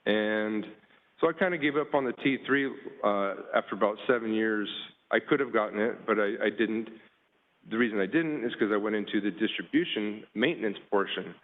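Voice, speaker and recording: A man giving a panel presentation with slides, talking casually with many pauses and fillers. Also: on the low side. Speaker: panelist, water utility worker. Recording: tinny phone-like line.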